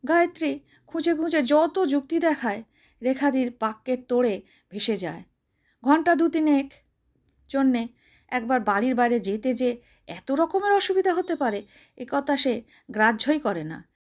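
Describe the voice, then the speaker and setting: A female storyteller with a distinctly high voice reads a short story aloud. Her narration is expressive, in the manner of someone telling a tale to listeners. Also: moderate pace, long pauses.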